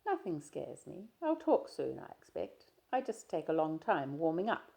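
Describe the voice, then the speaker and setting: A shy, reserved young woman, read by a woman narrator, giving soft and hesitant replies. Her voice sits low with a mellow, gravelly texture, and she speaks as a quiet traveller in an audiobook. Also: very animated, normal speed, heavily acted.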